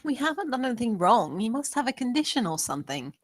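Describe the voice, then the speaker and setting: Worried, high, lively actress as a young woman. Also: quick pace, dry recording, clean studio sound. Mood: defensive. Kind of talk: acted screenplay table read.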